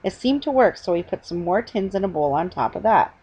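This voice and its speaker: A middle-aged woman reads a children's storybook aloud with a husky voice, stumbling now and then and correcting herself. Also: lowish voice, lively intonation, mellow. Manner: halting reading with stumbles and self-corrections. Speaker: reader. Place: small living room at home, indoors. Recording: dull lo-fi webcam sound.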